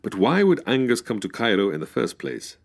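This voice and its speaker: An officious police official from a forties-style radio mystery. His voice is very deep yet moves through wide swings of pitch, formal and precise in every phrase. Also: sing-song, a little fast. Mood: stern, brisk. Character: formal, exasperated male Cairo police official. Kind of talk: 1940s detective radio drama pastiche.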